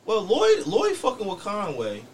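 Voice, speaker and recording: American dude swapping stories on a podcast, sweary slang, emphatic, bit of room noise. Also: male voice, high-pitched, huge pitch swings, unhurried. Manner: emphatic storyteller, frequent verbal tags. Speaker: podcast co-host. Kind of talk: casual group chat, slangy and profane.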